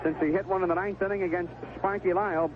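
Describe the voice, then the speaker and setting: An American man calling baseball play-by-play on the radio, in clipped phrases with conversational asides between pitches. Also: steady tone, quick pace. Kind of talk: live sports commentary. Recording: vintage 1970s AM radio broadcast, tinny, noisy.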